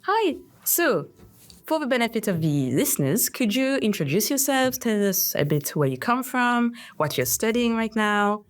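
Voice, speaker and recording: Upbeat young host from Mauritius. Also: female voice, a little high, sing-song, normal speed. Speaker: podcast host. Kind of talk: scripted intro, then interview questions.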